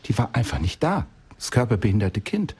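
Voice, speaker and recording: A man's voice: lowish voice, big pitch swings, fairly quick, a little mellow, breathy edge. A man telling an anecdote as an expert guest in a radio interview, acting out bits of dialogue as he goes. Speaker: expert guest, child-development specialist.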